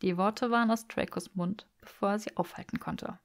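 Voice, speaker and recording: A woman reads a story as an audiobook narrator, in an expressive scripted delivery. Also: medium pitch, moderate pace.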